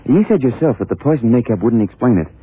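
An actor playing a detective's younger male sidekick, eager and puzzled, questioning haltingly in vintage radio drama style. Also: medium pitch, expressive, slightly rough. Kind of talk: 1960s-70s radio drama acting. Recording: muffled, narrow-band vintage radio recording.

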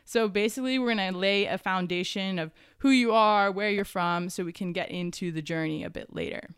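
Upbeat woman podcast host, smooth voice. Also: mid-range voice, brisk, no echo, studio quality. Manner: upbeat, enthusiastic. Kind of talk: podcast intro and interview.

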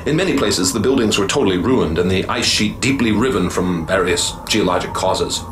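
A man's voice: low-pitched, expressive, fairly quick, background noise. An actor playing a male professor and explorer who narrates his discovery in earnest, dramatic tones, in a radio drama. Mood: awed. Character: middle-aged male professor, awed, driven explorer. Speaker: narrator and lead character. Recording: music bed behind the voice.

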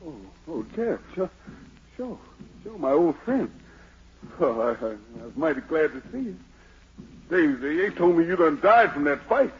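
An actor playing a frightened, boastful fugitive emperor with a gravelly American voice in 1940s radio drama, theatrically muttering to himself and crying out. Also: male voice, a little high, very animated, moderate pace, long pauses. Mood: startled, uneasy. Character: fleeing self-made emperor, frightened, boastful, haunted, superstitious fugitive. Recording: noisy, muffled 1940s radio broadcast.

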